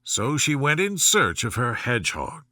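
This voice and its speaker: A man's voice: mid-range voice, sing-song, unhurried, pushed and bright, no echo, studio quality. A man reading a children's story as a soothing, scripted bedtime narration.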